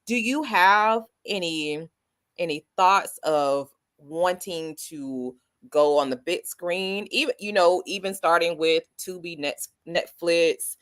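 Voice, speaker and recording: Low-voiced woman hosting a chatty podcast. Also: slow pace, no room sound, clean studio sound. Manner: warm, chatty, laughs often, many backchannels. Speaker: podcast host. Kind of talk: casual interview hosting.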